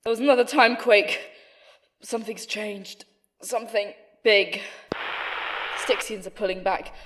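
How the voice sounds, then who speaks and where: A woman playing an urgent, commanding emergency squad leader in an audio drama, clipped and brisk. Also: mid-range voice, fairly even intonation, noisy background, close to an everyday voice.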